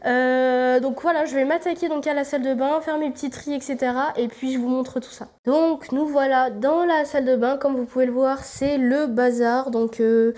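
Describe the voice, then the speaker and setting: A woman's voice: high-pitched, fairly even intonation, normal speed, hardly any pauses, darkish tone. A young woman from France with a cold, chatting casually in a vlog while she tours and tidies her apartment, full of fillers. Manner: rambling, many fillers, gives a tour while tidying. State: congested with a cold. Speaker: vlogger. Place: apartment indoors.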